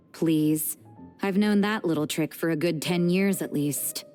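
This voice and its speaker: A woman's voice: lowish voice, takes it slowly, a little mellow, faint music behind. An actress playing a cool, sardonic adult woman, dry and dismissive. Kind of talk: video game voice acting.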